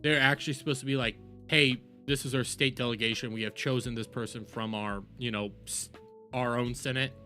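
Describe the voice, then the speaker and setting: A man giving a casual, exasperated political rant as a streamer, halting and repeating words, sometimes swearing. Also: medium pitch, steady tone, pushed and bright, soft background music. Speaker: streamer, host.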